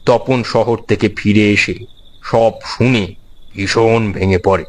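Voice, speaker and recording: Middle-aged villager male actor, lowish voice, very measured, solemn horror storyteller over music. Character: middle-aged villager, grave teller of local curse. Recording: loud music under the voice.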